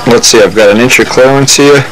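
A man's voice: mid-range voice, brisk, fairly bright tone, soft background music, rough recording. Older man rambling through a workshop build. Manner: rambling, self-correcting, talks while working with hands. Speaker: model airplane builder. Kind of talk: casual workshop demonstration. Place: hobby workshop, indoors.

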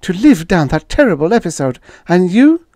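A man puts on an indignant, easily scandalized female secretary for an audiobook. The voice is pitched high and exclaims in a flustered way, swooping widely. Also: very animated, normal speed, warm tone, no room sound. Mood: outraged. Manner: gasping, exclaiming. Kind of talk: audiobook character dialogue.

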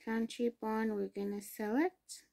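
A woman's voice: fairly high pitch, little pitch movement, a little slow, creaky, mellow. A young adult woman from Ghana, hosting a screen-recorded phone tutorial and explaining each step patiently. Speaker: tutorial host.

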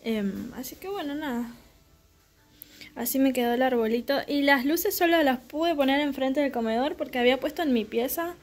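A woman's voice: high-pitched, moderate pace. A young woman chatting casually, meandering and filler-heavy, while showing her home decorations on a handheld phone. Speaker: vlogger. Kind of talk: casual home tour vlog. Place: small home living room. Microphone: handheld phone camera.

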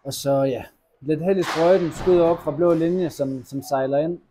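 A young man, a hockey player, answering post-match interview questions in a halting, disappointed way with many fillers and restarts, recorded indoors at an arena press wall. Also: a little high, steady tone, noisy background. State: disappointed after a loss.

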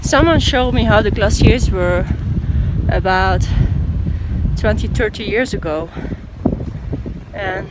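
A woman's voice: medium pitch, takes it slowly, pauses often, heavy background noise. Cheerful young woman vlogging on a trail. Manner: chatty, cheerful, laughs between remarks. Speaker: hiking vlogger. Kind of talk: casual vlog narration while hiking. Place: outdoors on a mountain trail. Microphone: handheld camera at arm's length.

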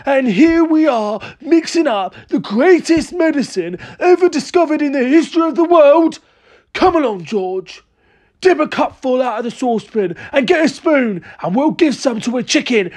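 Reader putting on an excitable, high-pitched blustering older man. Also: normal speed, few pauses, husky, full character voice. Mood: excited. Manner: exclamatory, urgent, theatrical.

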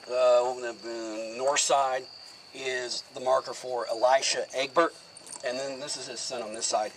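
A middle-aged man talks a group through a cemetery in a plain, casual, explanatory way, with a medium-pitched voice. He is recorded outdoors from a camera some way off. Faint crickets and open-air noise sit behind him. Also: low-quality recording. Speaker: cemetery tour guide. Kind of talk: outdoor walking tour talk. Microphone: far camera, no mic.

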